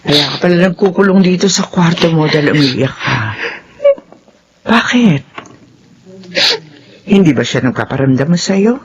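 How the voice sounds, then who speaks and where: A woman's voice: lowish voice, animated, unhurried, pauses often, slight background noise. An actress playing a worried, scolding middle-aged mother in a radio drama, emphatic and blunt, in a slightly dull recording with music. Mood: concerned. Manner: emphatic, exclaiming, admonishing.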